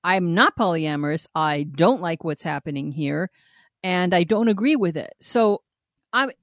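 A woman's voice: lowish voice, animated, unhurried, dry recording, clean studio sound. A woman co-hosting a podcast, reading a listener's email aloud and giving blunt, frank advice with frequent laughter and swearing. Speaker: podcast co-host.

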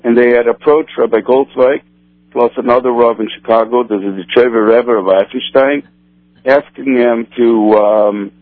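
Man on a tinny phone line, halting. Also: medium pitch, no echo. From Brazil. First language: Portuguese. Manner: halting, many restarts and fillers. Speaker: kosher supervisor rabbi. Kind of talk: radio interview answers.

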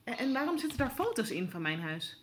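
Young newsroom reporter: somewhat high-pitched, eager, polite, hesitant yet probing, radio drama. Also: female voice, very animated, fairly quick, a bit bright. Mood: probing, puzzled. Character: eager, inquisitive young woman reporter, hesitant but persistent. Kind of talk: naturalistic audio drama dialogue.

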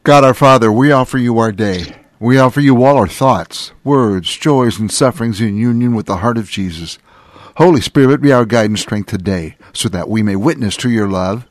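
Male deacon, measured reverent prayer reading, dry close sound. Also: medium pitch, dry recording. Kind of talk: leading a spoken prayer.

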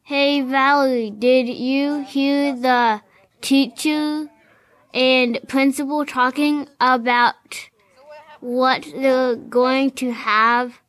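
A chatty schoolgirl played by a woman who loves passing on gossip in amateur radio theater. Her voice is high and her delivery very slow. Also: flat delivery, a bit bright, exaggerated voice.

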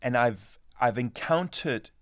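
A man's voice: mid-range voice, slow, fairly bright tone, no room sound, studio quality. A man from Denmark, an anthropologist, answering interview questions in a reflective, hesitant way with many restarts.

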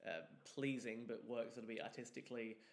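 A man's voice: mid-range voice, fairly even intonation, normal speed. A man hosting a talk show, putting prepared questions to a guest. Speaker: interviewer, talk show host.

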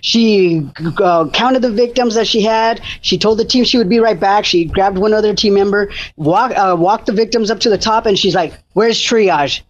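A man's voice: high-pitched, moderate pace, few pauses. A man who coordinates disaster preparedness for a food bank, talking enthusiastically as a radio guest, with many fillers and restarts. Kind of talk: casual radio interview. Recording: muffled lo-fi sound.

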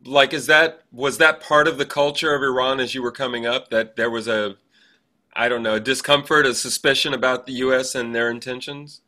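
Bright, forceful male podcast host. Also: mid-range voice, level tone, fairly quick. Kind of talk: casual interview conversation.

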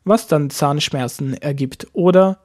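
A man teaching a language lesson, explaining each point slowly step by step. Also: on the high side, a little mellow. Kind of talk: lesson narration.

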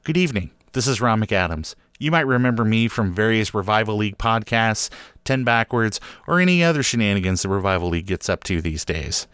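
Male interviewer, fairly low pitch, dry recording, casual mock-formal hosting. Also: lively intonation, fairly quick, a bit bright, smooth, dry recording. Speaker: podcast host introducing interviews.

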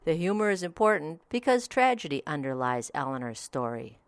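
Low, lively woman hosting. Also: fairly quick, no room sound. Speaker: podcast host. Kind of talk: scripted review narration.

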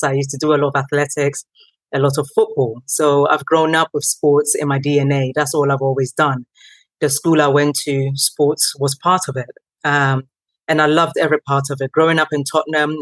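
A woman in her thirties, originally from Ghana and not a native English speaker, a guest telling her story in a lively way with many ums. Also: deep voice, no room sound, low-quality recording. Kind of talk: conversational interview.

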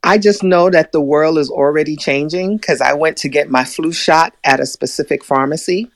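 A woman's voice: deep voice, normal speed, no room sound. Hesitant, easygoing woman guest. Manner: hesitant, easygoing, stumbles over words. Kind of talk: casual podcast chat.

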